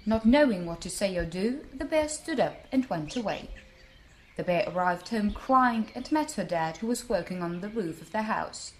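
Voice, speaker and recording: A woman storyteller narrating a scripted bedtime tale for children in an expressive manner. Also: mid-range voice, moderate pace, a little hiss or hum.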